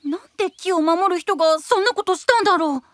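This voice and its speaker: A woman's voice: very high-pitched, fast, no echo. A woman voicing a timid, sweet, childlike boy knight with hesitant speech in an anime-style audio drama. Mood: concerned, curious.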